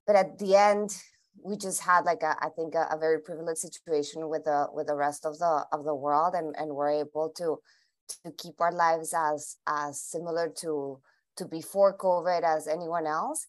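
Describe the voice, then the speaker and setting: A woman's voice: deep voice, steady tone, moderate pace. A Colombian woman whose first language is Spanish, answering interview questions in English with many restarts and repeated words as she thinks aloud. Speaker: guest.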